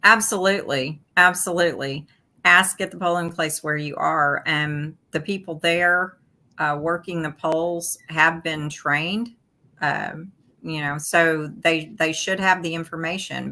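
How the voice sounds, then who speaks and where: A woman's voice: low-pitched, bright, forceful tone. A woman, a guest on a talk show, explaining election rules steadily while stuffed up from allergies.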